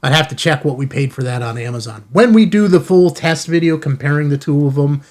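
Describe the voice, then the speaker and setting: An American man speaks in a slightly higher-than-average male register. He hosts a hobby radio channel from an indoor studio, talking casually and informally. His delivery is conversational, as if chatting straight to his viewers about gear. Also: a little fast, slight background noise. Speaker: ham radio channel host. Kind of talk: casual product unboxing chat to viewers. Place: indoor studio in an office building.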